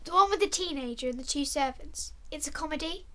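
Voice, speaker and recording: An actress as a teenage schoolgirl with a very high, bright voice. She is hesitant, questioning and a little evasive, as in a family drama. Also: brisk. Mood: curious.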